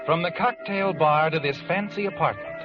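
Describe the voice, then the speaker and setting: A man's voice: fairly high pitch, moderate pace, close to an everyday voice. Ominous radio mystery narrator over music , played by a man. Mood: ominous, knowing. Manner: ominous, knowing, dramatic. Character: omniscient mysterious narrator taunting the protagonist. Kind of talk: 1940s radio mystery narration in second person. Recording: dull vintage radio recording. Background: dramatic music.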